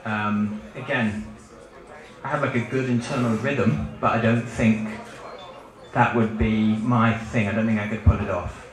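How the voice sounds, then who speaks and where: A shy, short-sighted mole puppet mumbles through questions in a mild, hesitant way, as a man puts him on. His voice sits fairly low, and it rings out across a very echoey hall. He is self-deprecating and unsure of himself. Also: fairly even intonation, a little slow, lightly acted. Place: book fair event room. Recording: echoey live event hall.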